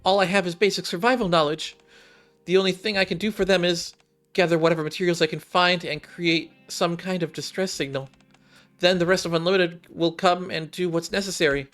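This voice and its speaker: Plucky young android girl survivalist played by a man, raised pitch, earnest and determined game heroine over background music. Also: fairly even intonation, brisk, a bit bright. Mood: anxious. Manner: eager, determined, exclamatory.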